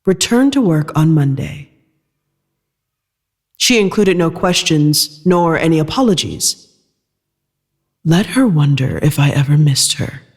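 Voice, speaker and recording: A narrator reading scripted audio fiction in a measured storytelling style. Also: mid-range voice, expressive, husky, long reverb tail.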